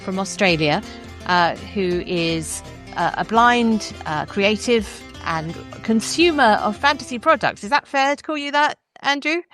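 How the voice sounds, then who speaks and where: A woman's voice: medium pitch, expressive, measured pace, fairly bright tone, soft background music. A woman hosting a podcast, chatty and articulate as she interviews a guest in a conversational way. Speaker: podcast host.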